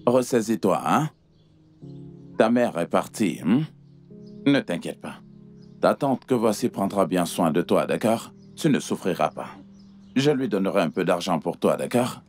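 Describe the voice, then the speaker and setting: Un riche protecteur à la voix grave. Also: male voice, deep voice, measured pace, soft background music. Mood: reassuring. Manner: calm, reassuring. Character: wealthy generous man, patron called Alhaji. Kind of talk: dubbed film acting.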